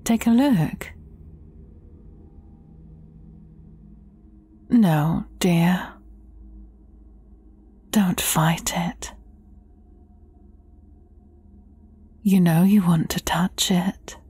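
A woman playing a menacing, gloating evil fairy sorceress in a fairy-tale audio drama, taunting deliberately and often dropping near a whisper. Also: on the low side, dark tone, slightly rough, heavily acted. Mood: coaxing, sinister.

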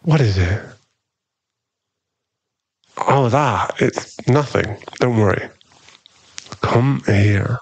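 A man playing a possessive, tender and dangerous mafia boss boyfriend in an intimate, teasing ASMR roleplay, lingering on his pauses. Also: fairly low pitch, vocal fry, slightly rough, no room sound, lo-fi sound, full character voice. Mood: reassuring, dismissive.

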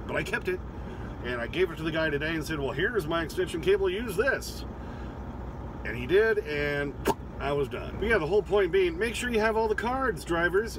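A man's voice: fairly high pitch, noisy background. A man in his fifties, a truck driver vlogging from his cab, chatty and rambling with many fillers and restarts. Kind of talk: casual vlog talk to camera.

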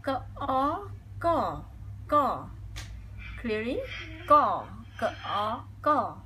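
A woman's voice: on the high side, very animated, sharp tone, slight background noise, poor audio. A young woman from Cambodia with a Khmer accent, teaching her language at a whiteboard and repeating each sound over and over. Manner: deliberate, repeats sounds many times. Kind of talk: slow whiteboard language lesson. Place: indoors at a whiteboard.

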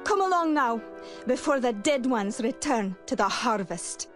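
An actress playing a dignified, stern shepherd leader in an adventure game, with formal, solemn, commanding speech. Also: female voice, on the high side, expressive, unhurried, faint music behind. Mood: grateful, dignified. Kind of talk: 1990s adventure game voice acting.

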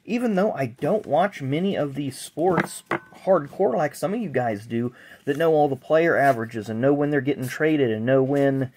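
A man's voice: mid-range voice, moderate pace, darkish tone, a little hiss or hum. A middle-aged man chatting casually as he sorts through a box of cards, rambling with self-deprecating asides, recorded on the camera mic at a table indoors. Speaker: sports card collector vlogger. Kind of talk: casual unboxing chat. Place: indoor card room at a table. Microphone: camera mic, hands in frame.

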